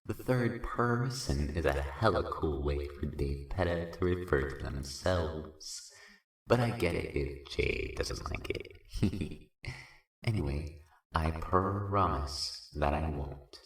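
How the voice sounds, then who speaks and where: A performer plays a very deep-voiced, unhurried cat-bird-troll sprite in a fan audio drama. The creature is cocky and playful, drawling its slang coolly. The recording is noticeably roomy and echoing. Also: exaggerated voice. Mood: earnest, playful.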